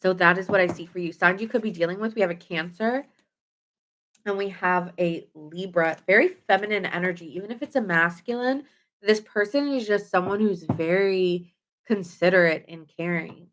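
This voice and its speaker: A woman's voice: on the low side, normal speed, fairly bright tone. A woman doing a casual live tarot reading, chatty and rambling with fillers and self-corrections, her nose stuffy. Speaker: tarot reader.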